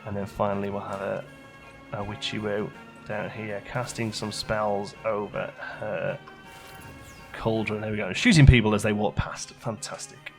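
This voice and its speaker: A man's voice: on the low side, flat delivery, measured pace, soft background music. A man giving casual let's play commentary, chatty and thinking aloud as he plays. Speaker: gaming channel host.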